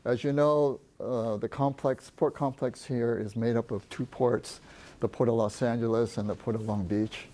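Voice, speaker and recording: A soft-spoken man presenting at a business workshop, talking in a halting way with many restarts. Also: mid-range voice, steady tone, a little hiss or hum. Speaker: port trade representative, presenter.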